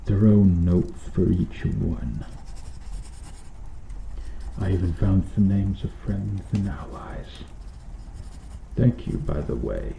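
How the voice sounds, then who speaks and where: A man voicing a cruel, bitter medieval knight in a slow-burn ASMR roleplay, speaking with brooding, deliberate menace. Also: sits low, creaky, roomy sound, slight background noise, heavily acted, rough recording. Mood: menacing, accusing. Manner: brooding, menacing, deliberate delivery with dropping hushed phrases.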